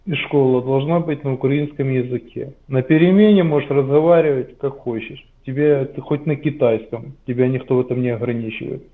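A man's voice: mid-range voice, a little fast, hardly any pauses. A man in his forties in a casual debate, arguing his point insistently over a headset in a small room. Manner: insistent, explaining. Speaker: video chat guest. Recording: tinny lo-fi video call.

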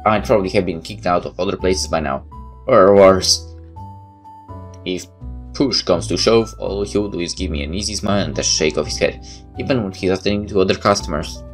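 A man hosting a let's play, reading a visual novel aloud with expressive storytelling and casual asides. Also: deep voice, moderate pace. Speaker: let's play host and narrator. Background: game music.

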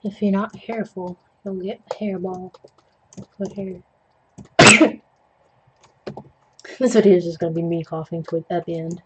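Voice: mid-range voice, level tone, moderate pace, long pauses, highs cut off, background noise. A young adult host rambling jokily with puns while painting at a desk, coughing now and then, on a webcam mic at home. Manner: chatty, self-deprecating, jokey with puns. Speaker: digital art tutorial host.

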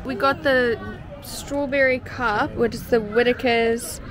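A woman in her twenties talks to her camera in a casual, chatty way. Her voice sits fairly high. It is a handheld vlog recording with faint noise around her. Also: steady tone, measured pace. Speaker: vlogger. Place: living room, car park and outdoor cafe. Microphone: camera at arm's length.

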